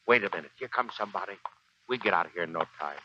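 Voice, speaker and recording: An actor playing a proud, embittered old Marine sergeant in a 1940s American radio drama, with gruff, slangy, uneducated speech. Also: male voice, sits low, lively intonation, racing, noisy background. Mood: sullen. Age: older. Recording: dull 1940s radio recording, faint music.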